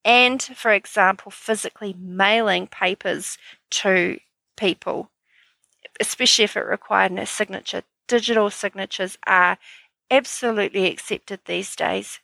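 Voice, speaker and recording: A woman's voice: medium pitch, unhurried, gravelly, sharp tone, no room sound, rough recording. A woman hosting a solo business podcast, sounding a bit stuffy from hay fever, in an upbeat, chatty, explaining manner. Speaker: podcast host.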